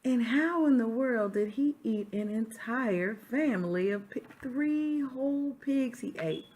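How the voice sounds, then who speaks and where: A woman's voice: a little high, expressive, unhurried, a little mellow, slight background noise. A woman teacher chatting to children during a read-aloud, often putting questions to her listeners. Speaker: sixth grade teacher reading aloud.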